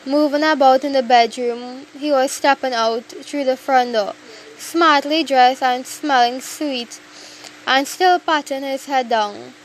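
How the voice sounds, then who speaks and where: A woman's voice: sits high, a little slow, a little hiss or hum, low-quality recording. A woman narrating a scripted story in the first person.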